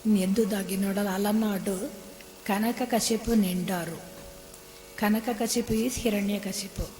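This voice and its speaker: A woman's voice: mid-range voice, fairly even intonation, fairly quick, mellow, a little hiss or hum. Woman lecturing in a reverberant hall. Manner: explanatory, switches between English and Tamil. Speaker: music teacher giving a lecture-demonstration. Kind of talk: lecture-demonstration on classical singing. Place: concert hall. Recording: long hall reverb, faint music behind.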